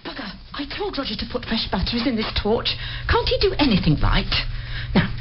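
A British actress playing a bossy, brisk, plucky amateur sleuth in a radio drama, with an exasperated edge. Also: female voice, on the high side, sing-song. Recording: muffled, clearly noisy radio play recording.

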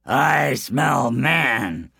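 A man voicing a huge, fierce male giant who bellows slowly in a booming voice. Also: lively intonation, vocal fry, sharp tone, breathy edge, no room sound, clean studio sound, full character voice. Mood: menacing.

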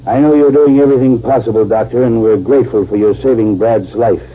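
Guy acting concerned army general, medium pitch, authoritative, thin tinny 50s radio with some hiss. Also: fairly even intonation, close to an everyday voice. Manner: measured, authoritative, earnest. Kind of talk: 1950s radio drama acting. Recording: tinny, hissy old radio recording.

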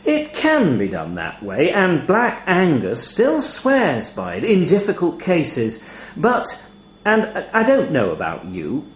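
A sly demon raven from a storybook, voiced by a male reader, bargains with courteous, matter-of-fact menace. The pitch swings freely through reassuring, chatty patter, and the room adds a noticeable echo. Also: huge pitch swings, slow, heavily acted. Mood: smooth persuasion. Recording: roomy, echoey voice recording.

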